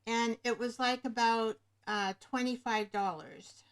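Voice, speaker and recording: Woman, craft livestream host, unhurried, slightly high, rambling chat, webcam mic. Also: steady tone. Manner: rambling, searches for words. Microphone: webcam microphone above the screen.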